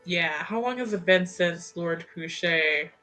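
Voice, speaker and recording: Slow, bright-voiced woman at a game table. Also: lowish voice, soft background music. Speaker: player in a tabletop roleplaying game. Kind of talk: casual questioning game talk.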